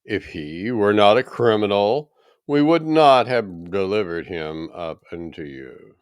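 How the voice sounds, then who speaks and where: Man as indignant, accusing temple officer, pitched up. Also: lively intonation, a little slow, clear, resonant tone, no echo, clean studio sound. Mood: defensive. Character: accusing officers and servants of a high priest.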